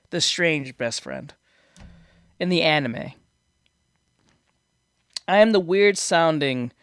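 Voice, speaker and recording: High-voiced boyish young man, dry sound. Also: fairly bright tone, no echo. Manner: rambling, self-deprecating, laughs often. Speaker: reaction streamer. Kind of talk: casual reaction commentary. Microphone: desk microphone.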